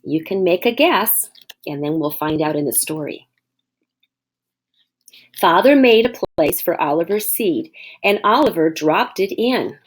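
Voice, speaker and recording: Casting: female elementary teacher for storybook read-aloud, unhurried, lively intonation, instructive. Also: fairly low pitch, slightly rough, poor audio. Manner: expressive, instructive, addresses children directly.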